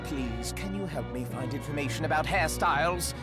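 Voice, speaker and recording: An actor playing a frantic, comical cursed demon barber in a video game, stammering and theatrical. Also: male voice, on the high side, animated, normal speed, music in the background, lightly acted. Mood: pleading, nervous.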